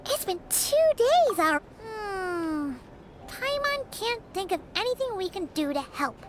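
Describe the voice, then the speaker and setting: A little floating girl companion from a video game. The voice is squeaky, childlike and extremely high, with lively swings in pitch. It is earnest and very slowly paced. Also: background noise, heavily acted. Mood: worried. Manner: speaks of herself in third person. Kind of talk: video game character acting. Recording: music behind the voice.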